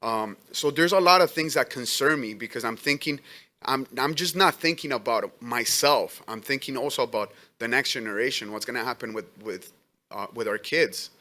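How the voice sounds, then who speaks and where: A man who says he is an immigrant with an accent, a letter carrier giving earnest, halting testimony at a public hearing. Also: medium pitch, sharp tone. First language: not English. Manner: earnest, halting, many restarts.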